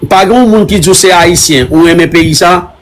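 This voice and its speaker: A Haitian man whose first language is Creole speaks halting French. He answers questions in a live online conversation, repeating himself often and slipping into Creole words. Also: sits high, slight background noise. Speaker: interviewee.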